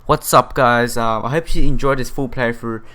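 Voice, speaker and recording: A man's voice: medium pitch, steady tone, normal speed, pushed and bright. A man hosting a visual novel playthrough, reading and commenting casually, sometimes stumbling over his words. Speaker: gaming video host. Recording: music behind the voice. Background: music.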